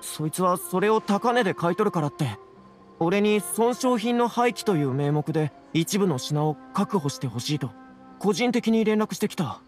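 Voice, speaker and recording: A man's voice: high-pitched, animated, normal speed, soft background music. A man playing a nervous, flustered scholar in an anime game, with a pleading manner. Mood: anxious, defensive. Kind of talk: Japanese anime game voice acting.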